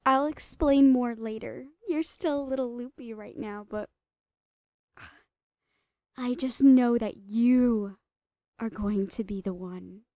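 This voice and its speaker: Obsessive psycho female doctor, horror audio roleplay. Soft dark tone, unhurried, doting, drifting into whispers. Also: a little high, no room sound, studio quality. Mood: gleefully obsessed. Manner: breathy giggling, cooing, slipping into whispers.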